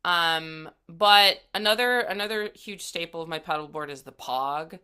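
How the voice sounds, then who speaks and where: A woman's voice: on the low side, moderate pace, bright, forceful tone, clear, resonant tone. An American woman, around her thirties, chatting and explaining things casually as an interview guest. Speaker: interview guest, guitarist and songwriter.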